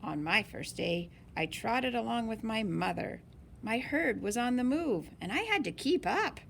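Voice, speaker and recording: A woman reading a picture book aloud in an expressive, deliberate way for young listeners. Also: fairly high pitch, gravelly, slight background noise. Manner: slow, deliberate, animated phrasing for young listeners. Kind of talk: expressive children's read-aloud.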